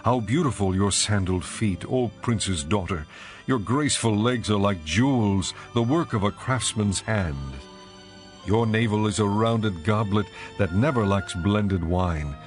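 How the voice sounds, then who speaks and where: A man playing an adoring lover in a dramatized scripture reading, speaking in measured, reverent, poetic phrases. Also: lowish voice, faint music behind, lightly acted. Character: adoring male lover praising his bride, ancient royal poetry.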